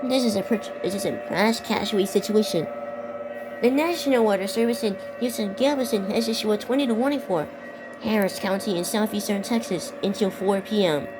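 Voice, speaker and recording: Young female amateur narrator, stumbling improvised bulletin delivery, mid-pitched, heavy music bed. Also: low-quality recording. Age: teenager or young adult. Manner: halting, stumbling over and repeating words. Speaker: amateur video creator narrating a fictional emergency alert scenario. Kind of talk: improvised narration mixed with read-out weather warnings. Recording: loud music behind the voice. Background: loud music.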